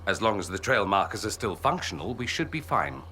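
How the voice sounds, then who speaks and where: An actor playing an urbane gentleman mage with dry wit and polished, sardonic delivery in video game banter. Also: lowish voice, very animated, fairly quick, slight background noise. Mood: wry, sardonic.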